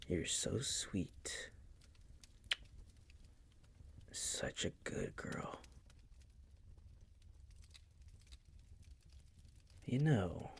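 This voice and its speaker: A man playing a possessive, condescending vampire master in an intimate roleplay audio, teasing in a breathy, gravelly, often whispered voice. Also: fairly low pitch, exaggerated voice. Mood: soothing, condescending. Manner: slow, teasing, condescending purr.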